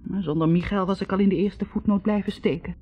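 An actress playing an unsentimental young woman student, brisk and didactic, in a 1960s radio play. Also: medium pitch, fairly even intonation, breathy edge, faint music behind, lightly acted. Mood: wry, exasperated. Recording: muffled old radio recording.

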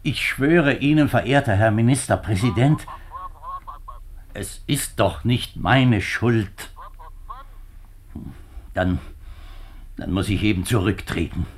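Anxious, pleading minister in a 1950s radio play; deep, slow-paced, formal and halting. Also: male voice, a little hiss or hum. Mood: agitated, pleading. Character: distraught male government minister, middle-aged, anxious and pleading. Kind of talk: 1950s German radio drama acting.

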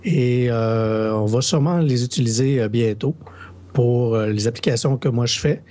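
A man with a Québécois French accent co-hosting a casual podcast, hesitant and quick to laugh. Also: medium pitch, mellow. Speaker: podcast co-host.

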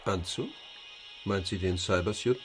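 An actor playing a formal, evasive corporate client in an audio drama, guarded and a little condescending. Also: male voice, sits low, a little slow. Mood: puzzled, defensive. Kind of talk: German audio drama acting. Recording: music behind the voice.

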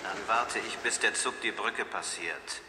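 An actor playing a cold, calculating killer in a radio thriller, measured and menacing. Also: male voice, on the low side, close to an everyday voice. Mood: cold menace. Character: cold, calculating male killer plotting quietly. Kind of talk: 1970s German radio thriller acting. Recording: echoing reverberant hall, clear background noise.

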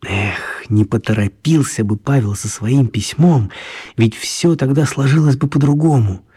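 Husky-voiced male storyteller. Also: medium pitch, a little slow. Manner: calm, storytelling. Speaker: narrator. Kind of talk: scripted narration of a children's radio play.

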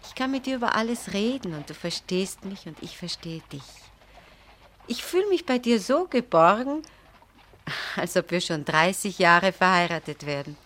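An actress in a German radio play, playing a frank, affectionate young wife who speaks earnestly and confidingly. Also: female voice, mid-range voice, lively intonation, normal speed, a bit bright, lightly acted. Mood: tender, confiding.

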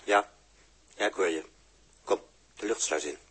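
An actor playing a wary, awed spaceship crewman with a husky, breathy voice, speaking slowly in short brooding phrases in a 1960s Dutch radio drama. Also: low-pitched, heavy background noise. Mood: brisk, dry. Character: male spaceship crewman exploring a strange planet, wary, awed.

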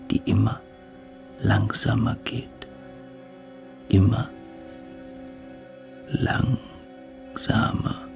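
Creepy fanatical young cadet in a radio play, super slow hypnotic orders, gravelly, goes breathy and hushed. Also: male voice, fairly low pitch, heavily acted. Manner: slow, hypnotic commands, sinking into whispers.

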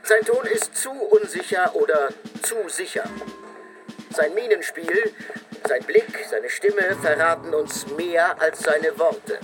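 Pompous criminologist, animated, swinging delivery. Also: male voice, high voice, full character voice, low-quality recording. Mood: lecturing, emphatic. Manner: emphatic, lecturing, insistent. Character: pompous, probing male criminologist interrogating a convicted killer. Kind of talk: German radio drama acting.

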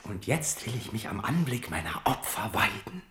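A man's voice: fairly low pitch, sing-song, normal speed. An actor playing an unstable, tormented young king in a German radio play, theatrical, moving between whispers and outbursts. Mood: menacing. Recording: echoey studio radio play with loud music.

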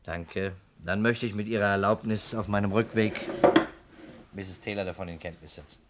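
A man's voice: lowish voice, steady tone, normal speed, heavy background noise, lightly acted. Man acting a shrewd, insistent radio investigator. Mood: assured, explaining. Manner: methodical, politely insistent questioning. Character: shrewd, composed male insurance investigator pressing for answers. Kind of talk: 1960s German radio crime drama acting.

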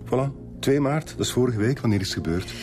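A man as a courteous Flemish dentist in a radio drama. His voice is lively in pitch, his manner hesitant and concerned, with clear music behind him. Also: fast talker, lightly acted. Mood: courteous, shaken. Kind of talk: naturalistic radio drama acting.

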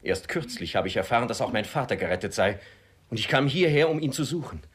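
A young noble lover in a classic radio play. His voice is husky and very animated, with proud, defiant, formal declamation. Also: mid-range voice, quick pace. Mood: earnest, proud. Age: young adult. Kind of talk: classic stage comedy radio acting.

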